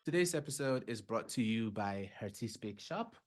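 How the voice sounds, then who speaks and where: A man's voice: fairly low pitch, expressive, normal speed, soft background music. A man from Ghana whose first language is Fante, a clinical psychologist hosting a podcast and explaining things in a casual way, often checking in with "right?" and "yeah?".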